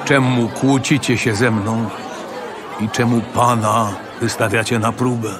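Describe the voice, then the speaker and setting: An actor playing an exasperated, pleading leader of a thirsty people in a biblical radio drama. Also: male voice, mid-range voice, little pitch movement, a little slow, close to an everyday voice. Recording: outdoor crowd noise behind the voice.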